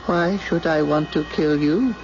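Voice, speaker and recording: Gravelly, war-weary space pilot. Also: male voice, on the high side, a little slow. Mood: incredulous. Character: war-weary enemy space pilot, cynical, persuasive, tempting. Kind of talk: old-time radio drama acting. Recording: muffled noisy radio drama with music.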